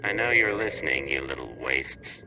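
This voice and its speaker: An actor playing a cold, commanding male intelligence agent in a video game, speaking in a gravelly, clipped way. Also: rumbling low, expressive, moderate pace, background noise. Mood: menacing. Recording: music behind the voice.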